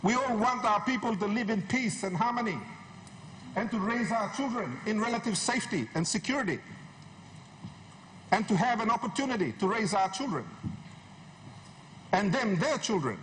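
High-pitched, emphatic politician man. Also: expressive, moderate pace, pauses often, a bit bright. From Papua New Guinea. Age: middle-aged. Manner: emphatic, rhetorical, repeats phrases for effect. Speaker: member of parliament. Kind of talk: impassioned parliamentary speech. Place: large wood-panelled parliament chamber. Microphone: desk gooseneck microphone, standing. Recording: noisy parliament chamber microphone.

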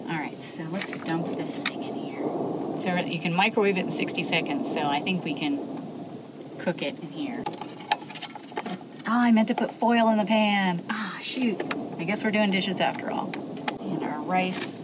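A woman's voice: lowish voice, moderate pace. A middle-aged woman cooking in a camper van, chatting and muttering to herself as she works on camera. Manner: chatty, thinks aloud, mutters to herself while working. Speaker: camping vlogger. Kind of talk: casual hands-on demo. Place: inside a parked camper van. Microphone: camera mounted inside the van. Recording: loud background noise in a van.

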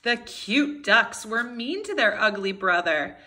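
A woman's voice: fairly high pitch, animated, measured pace, bright, forceful tone. A woman in her thirties, a kindergarten teacher reading a storybook aloud expressively to young children.

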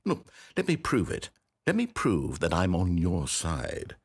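A man's voice: low voice, wildly swooping pitch, measured pace, creaky, husky, exaggerated voice. A man playing a fussy, controlling video game narrator who talks in a dry, sarcastic, mock-patient way. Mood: indignant.

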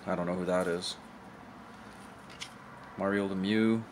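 A young man with a slightly low voice talks casually into a table camera in his room, showing off cards. His delivery is offhand and mumbly, often trailing off mid-thought. A faint room hum sits behind him. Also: steady tone, unhurried. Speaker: card collector vlogger. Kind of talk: casual card showcase commentary. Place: indoor room with shelf of collectibles.

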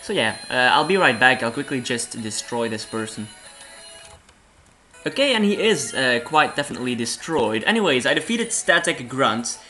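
Hoarse young man with a cold. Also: medium pitch, sing-song, moderate pace, pushed and bright, faint music behind. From Belgium. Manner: rambling, self-deprecating, laughs often. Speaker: let's play host. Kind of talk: casual game commentary.

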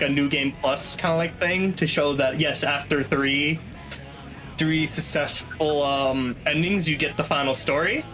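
Higher-pitched man rambling over game music. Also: a bit bright, poor audio. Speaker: speedrunner. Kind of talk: casual commentary on a game speedrun. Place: small room at home, indoors. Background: video game soundtrack music.

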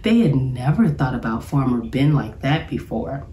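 A middle-aged woman, a teacher, reading aloud to children with expressive, careful enunciation in an echoey room. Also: a bass voice. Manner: expressive, deliberate enunciation, addressing young listeners. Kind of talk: children's read-aloud storytelling. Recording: roomy, echoey sound.

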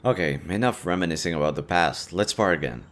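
A man's voice: low-pitched, lively intonation, a little fast, a bit bright. A man playing an easygoing young half-demon adventurer, talking casually to a best friend in an audio roleplay. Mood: relaxed, teasing. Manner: casual, teasing, chatty. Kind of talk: intimate audio roleplay acting.

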